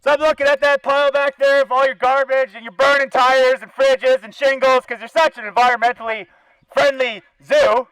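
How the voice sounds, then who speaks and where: An indignant man with a Canadian accent rants outdoors through a bullhorn. His pitch is extremely high for a man, and the sound is dry, without echo. He is sarcastic, confrontational and freely profane, speaking as an activist calling someone out. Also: level tone, moderate pace, fairly bright tone, smooth. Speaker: animal-welfare activist. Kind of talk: angry protest rant through a bullhorn. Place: outdoors by a zoo fence.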